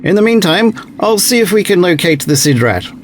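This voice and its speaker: A man plays a dutiful young-ranking fleet officer in an audio drama. His voice is on the high side for a man, with efficient military reporting over slight background noise. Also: sing-song, fairly quick.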